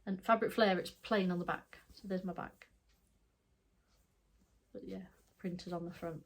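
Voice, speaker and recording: A middle-aged woman with a stuffed-up, congested voice. She talks in a casual, rambling way, often correcting herself mid-sentence, like a relaxed home vlog. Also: lowish voice, measured pace. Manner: chatty, rambling, self-correcting. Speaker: cross stitch vlogger. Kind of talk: casual show-and-tell chat.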